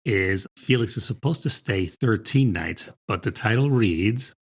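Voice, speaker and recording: A middle-aged American man talks books as a podcast co-host. His voice sits on the low side with a husky, rough edge. The delivery is informal and chatty, often checking in with a quick rhetorical question. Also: lively intonation. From New Jersey, United States. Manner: informal, chatty, peppered with rhetorical "right?" and "I mean". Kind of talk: casual conversational book chat.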